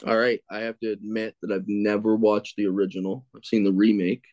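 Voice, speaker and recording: A man's voice: lowish voice, level tone, a little fast, a little mellow, no room sound, dull audio. A man guesting on a podcast, chatting casually, searching aloud for answers and cursing at himself when he blanks. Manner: fumbling, self-deprecating, swears when stuck. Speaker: podcast guest, film critic. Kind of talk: casual chat.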